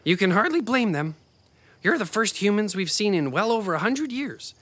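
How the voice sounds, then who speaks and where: A woman's voice: mid-range voice, very animated, normal speed, fairly bright tone, slightly rough. A woman voicing a prickly, proud rabbit in a children's fantasy audio drama, bossy and exasperated, with a haughty, scolding manner. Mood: wary, guarded.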